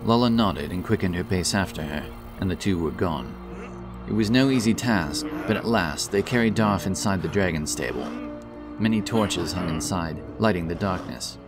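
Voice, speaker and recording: Casting: deep male storyteller, solemn and dramatic, for scripted fantasy narration over strong music. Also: expressive, moderate pace.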